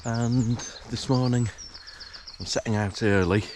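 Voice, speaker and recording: A man narrating a morning countryside walk in a relaxed, hesitant way, full of fillers, his voice a little croaky from just waking up. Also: medium pitch, unhurried, slight background noise. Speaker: hiking vlogger. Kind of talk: casual walking commentary. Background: rural outdoor sounds, animals.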